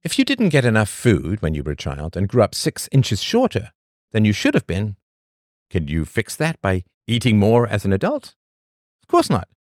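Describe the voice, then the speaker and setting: A man hosting a podcast, reading a scripted monologue full of rhetorical questions and emphatic pauses. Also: lowish voice, wildly swooping pitch, no room sound, studio quality. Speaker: podcast host.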